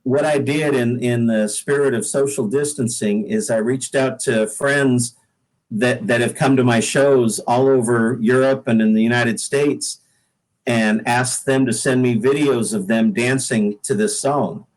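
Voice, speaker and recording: Guy musician on a casual interview, average pitch, keeps repeating words while he thinks. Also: fairly even intonation, a little slow, low-quality recording. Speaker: guest singer-songwriter.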